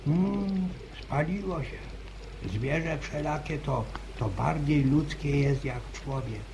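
An actor playing an older, superstitious village grandfather who tells stories with halting restarts, in a 1950s radio drama. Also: a little high, creaky. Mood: stubborn. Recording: noisy old radio broadcast recording.

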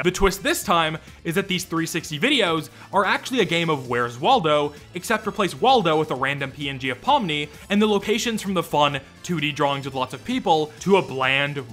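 Animated, bright-voiced man doing sarcastic commentary. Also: fairly high pitch. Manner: wry and irreverent. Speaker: YouTube commentator. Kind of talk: sarcastic scripted video commentary.

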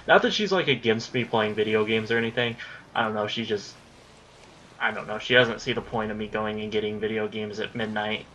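A man's voice: on the low side, fairly quick, sharp tone, lo-fi sound, a little hiss or hum. A young man in his late teens rambling casually about his recording plans while playing a game, swearing when things go wrong. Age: young adult. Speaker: gaming YouTuber. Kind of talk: casual commentary over gameplay.

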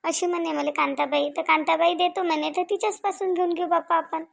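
Woman acting a high-voiced, pleading village wife. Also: fairly even intonation, quick pace, vocal fry, full character voice. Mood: meek. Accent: rural Vidarbha Marathi. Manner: pleading, repeats phrases. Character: tearful, frightened village wife begging forgiveness. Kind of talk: amateur Marathi village family drama.

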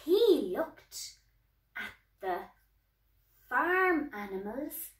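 A woman in her thirties with an Irish accent, reading a children's storybook aloud in a deliberate, sing-song way while signing. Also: high voice, very animated, distant mic. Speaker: sign language tutor reading a storybook. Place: small indoor room at home. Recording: echoey small room.

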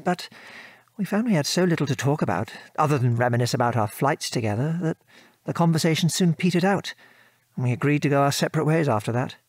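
A man's voice: a little high, slightly rough, dry recording. An actor with a British accent playing a former RAF airman and father, frankly recounting his war service in an articulate, old-fashioned way for an audio drama. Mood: wry reminiscing. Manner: articulate, measured storytelling with old-fashioned British turns of phrase. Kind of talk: conversational audio drama reminiscence.

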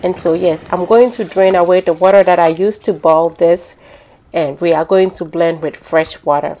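A woman home cook with a Ghanaian accent hosts in a slightly low voice. She explains each step conversationally, slipping into chatty storytelling as she goes. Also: fairly even intonation, normal speed, warm tone, poor audio. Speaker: home cook hosting a cooking channel. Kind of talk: instructional cooking narration, conversational.

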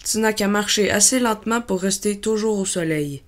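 A woman voicing a gentle, curious little boy prince in a storybook dramatization, earnest and innocent. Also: mid-range voice, normal speed, close to an everyday voice. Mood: helpful, earnest.

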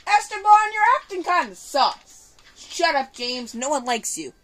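Casting: female gaming host, high lively voice, bossy and teasing, improvised banter, recorded casually in a room. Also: measured pace. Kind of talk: casual improvised gaming commentary with play-acted bickering. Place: indoor room, filming a TV screen. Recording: noisy room recording with clicking.

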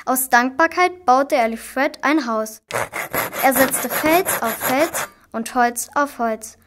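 High-pitched child narrator, noisy recording. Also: female voice, takes it slowly. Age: children of primary school age. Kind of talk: scripted storybook narration. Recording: clearly noisy amateur recording, clicks.

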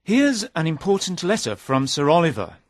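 A man's voice: a little high, big pitch swings, moderate pace, no room sound, voice pushed up. A man voicing a brave, honest young man who speaks earnestly and politely.

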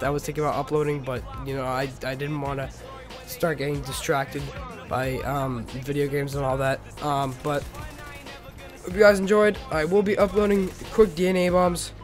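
A teenage male, slightly sick, giving casual, rambling and halting gameplay commentary as host of a gaming video. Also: fairly high pitch, fairly even intonation. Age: teenager, about 15. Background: music.

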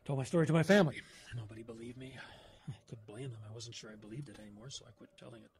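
A man's voice: mid-range voice, racing, a little mellow, lightly acted. An actor playing a haunted older man telling stories in an audio drama, plain-spoken and folksy, with clipped phrasing and wry humor. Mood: haunted, grim.

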